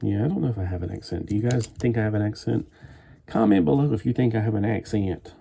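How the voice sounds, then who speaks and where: A man's voice: fairly low pitch, fast, vocal fry, mellow, a little hiss or hum. A man chatting at home as he builds a toy kit live, meandering and thinking aloud with frequent restarts. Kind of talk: rambling live-stream chat while building.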